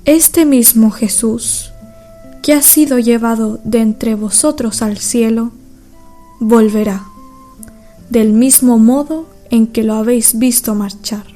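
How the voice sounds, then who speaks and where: Woman acting a solemn, mellow heavenly messenger. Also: fairly high pitch, fairly even intonation, takes it slowly. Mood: solemn, reassuring. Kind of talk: youth radio play acting. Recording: music playing behind the voice. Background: background music.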